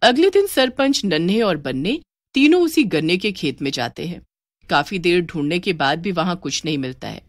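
A woman's voice: on the low side, very animated, normal speed, no room sound, clean studio sound. A woman narrating a scripted story as its narrator.

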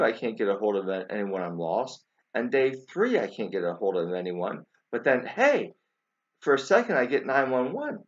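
Man in his forties, informal commentary, very varied pitch, halting with repeated words, emphatic, muffled lo-fi webcam audio. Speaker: video commentator. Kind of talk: informal spoken commentary to camera. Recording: dull lo-fi webcam sound.

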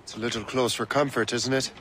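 An actor playing a determined, brooding young sea captain in a video game, terse and earnest. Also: male voice, mid-range voice, fairly even intonation, fast, fairly bright tone, a little hiss or hum, lightly acted. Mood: resolute. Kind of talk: video game cutscene acting. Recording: music behind the voice.

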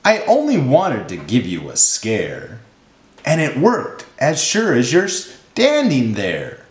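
A man's voice: medium pitch, wildly swooping pitch, slow. A man voicing a cheeky little gray mouse who loves a prank, in a rhyming children's story. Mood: cheeky, teasing. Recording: roomy, echoing sound.

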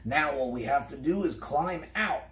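A woman's voice: sits low, animated, normal speed, roomy sound, pitched above the performer's own voice. A woman voicing a practical, helpful male dog in a storybook reading.

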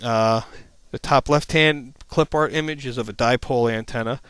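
A man's voice: mid-range voice, normal speed, fairly bright tone, clean tone, no room sound. A man narrating an informal slide presentation as an instructor, explaining things in a plain-spoken, matter-of-fact way.

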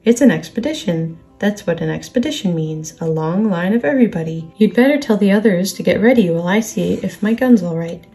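A woman's voice: medium pitch, animated, a little fast, hardly any pauses, warm tone, faint music behind, close to an everyday voice. A woman voicing a confident, offhand young boy who is fondly bossy in a children's story. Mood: breezy, instructing. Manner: breezy, explaining things carelessly. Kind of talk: children's storybook character reading.

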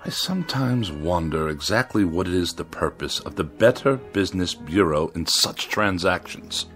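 An actor playing a pompous, irascible writer who despises machines, speaking in wordy, sneering sarcasm in a radio drama. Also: male voice, low voice, expressive, normal speed, fairly bright tone, soft background music. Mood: contemptuous.

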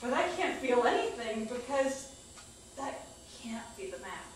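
A woman's voice: a little high, big pitch swings, a little slow. A woman reading a personal story aloud from a script on a small stage. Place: small indoor theater stage. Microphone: far camera microphone. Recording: echoey distant stage recording, faint noise.

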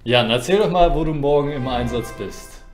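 A man's voice: medium pitch, moderate pace, faint music behind. Man streaming in an echoey room. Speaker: chess streamer and host. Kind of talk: casual livestream chat while playing chess. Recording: roomy, echoing sound.

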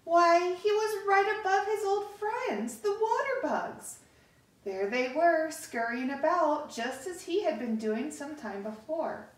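A middle-aged woman reading a picture book aloud to children, with deliberate, expressive pauses, recorded across a living room. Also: very high-pitched. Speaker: story time host reading to children. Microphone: camera across the room. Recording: echoey room, distant microphone.

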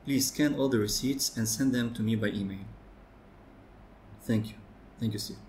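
A man's voice: medium pitch, normal speed. A young man doing an office ASMR roleplay, talking in a businesslike way as he works at his desk. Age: young adult. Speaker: ASMR performer playing an office worker. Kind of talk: office ASMR roleplay, muttering while working through figures. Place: office desk by a large window, indoors. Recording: noisy, with constant clicking and rustling. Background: keyboard typing, clicks, steady noise.

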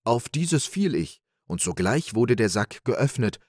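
A man voicing a clever, plucky young peasant in a storybook reading, talking in a raised, lively, boastful way. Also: medium pitch, measured pace, dry recording, studio quality, voice pushed up.

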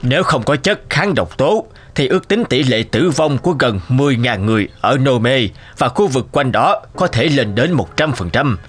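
A man's voice: medium pitch, animated, normal speed, hardly any pauses, fairly bright tone. A man voicing a grave, worried town official in a dramatic cartoon. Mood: grave, urgent. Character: grave, worried men in a snowbound town emergency. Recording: music behind the voice.

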